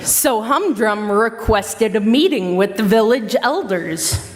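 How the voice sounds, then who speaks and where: A woman's voice: mid-range voice, lively intonation, takes it slowly. A woman narrating a scripted storybook-style play in an echoey hall.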